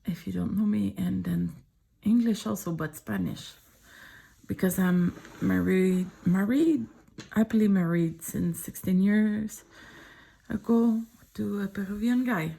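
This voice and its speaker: A middle-aged woman with a French accent, speaking French as her first language, chats softly, often in a whisper, while showing her sketchbook drawings at home. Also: mid-range voice, unhurried, gravelly, breathy edge. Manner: soft-spoken, often whispering. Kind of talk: casual chat.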